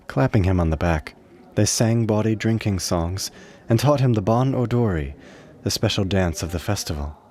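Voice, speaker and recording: A man's voice: lowish voice, darkish tone. A man reading scripted narration for a radio play.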